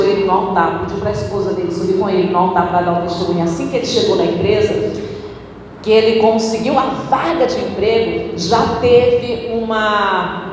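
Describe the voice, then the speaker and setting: A female pastor preaching a sermon in a church, emphatic, with sudden swings in pitch and tempo. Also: a little hiss or hum. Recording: echoey church hall, recorded from a distance.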